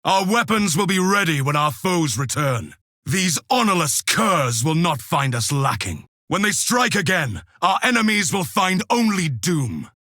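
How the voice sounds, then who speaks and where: Forceful, resolute Space Marine. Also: male voice, a little high, sing-song, a little slow, no room sound, clean studio sound, heavily acted. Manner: commanding, formal, declamatory. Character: stern, resolute male Space Marine soldier, zealous battle brother. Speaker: video game character. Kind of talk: combat announcements from a video game.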